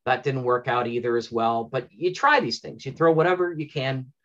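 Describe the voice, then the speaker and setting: A man's voice: mid-range voice, moderate pace, fairly bright tone. A man, talking casually and effusively in a rambling way as an interview guest. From Canada. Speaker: interview guest, audio drama producer. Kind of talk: enthusiastic casual interview talk. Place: small home room with bookshelves, indoors. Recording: dull, lo-fi video-call audio.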